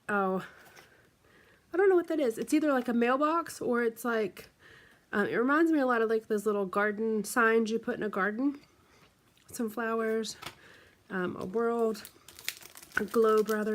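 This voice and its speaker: A woman from the United States, congested from the flu, chatting casually with lots of ums and likes as she shows off scrapbooking supplies. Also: mid-range voice. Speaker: craft vlogger. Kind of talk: casual show-and-tell unboxing.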